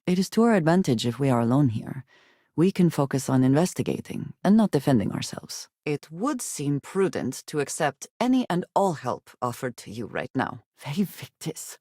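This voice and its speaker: An actress playing a guarded, serious woman space smuggler in a video game, speaking in measured, formal sentences with dry reserve. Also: a bass voice, a little mellow, no echo, heavily acted. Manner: measured, formal speech with few contractions. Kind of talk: video game companion dialogue.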